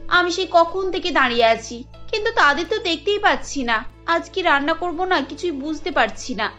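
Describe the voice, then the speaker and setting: A woman's voice: very high-pitched, expressive, moderate pace, pushed and bright, full character voice. A woman voicing a sweet, cheeky young daughter-in-law in a cartoon, politely and pertly explaining herself. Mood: puzzled. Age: young adult. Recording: dull audio over background music.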